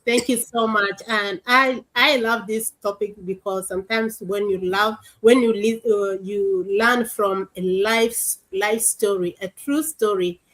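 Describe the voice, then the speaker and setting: A woman's voice: medium pitch, steady tone, measured pace, few pauses, fairly bright tone, low-quality recording. A woman from Sudan, hosting a live online interview show in an earnest way and often repeating words for emphasis. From South Sudan. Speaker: host. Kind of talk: livestream interview.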